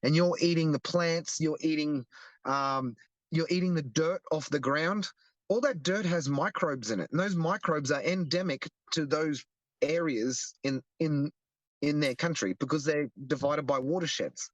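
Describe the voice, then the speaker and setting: A man's voice: fairly high pitch, measured pace, no echo, lo-fi sound. A young Australian man explaining earnestly in a casual interview, often restarting his sentences. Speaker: guest, activist.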